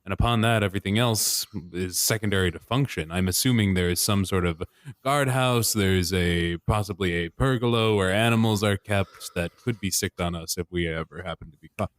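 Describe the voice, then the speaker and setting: A man's voice: low-pitched, normal speed, no room sound. A man playing a tabletop game in character, talking in a pompous, lecturing, theatrical way.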